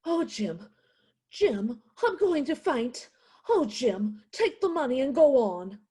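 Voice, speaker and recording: A woman's voice: sits high, big pitch swings, slow, warm tone, slightly rough, exaggerated voice. A woman playing a stubborn, indignant poor widowed mother in a theatrical radio play. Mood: panicked, faint. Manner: indignant, insistent, dramatic.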